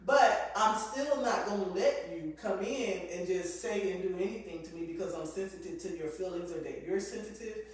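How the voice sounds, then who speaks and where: A woman co-hosting a casual chat with a loud voice, talking reflectively. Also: lowish voice, normal speed, hardly any pauses, far from the mic. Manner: loud voice, reflective, talks in restarts. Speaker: co-host. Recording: roomy, echoey from off mic.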